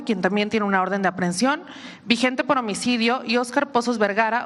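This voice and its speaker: Lady reporter rattling off a long question, quick pace, mid pitch. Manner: reads a long, detailed question briskly. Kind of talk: asking a question at a press conference.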